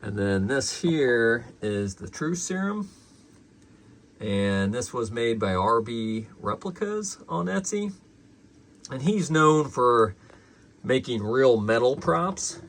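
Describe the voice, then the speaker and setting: Man, casual collector review, slow delivery, creaky gravelly voice, conversational, unscripted. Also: medium pitch, big pitch swings, fairly bright tone.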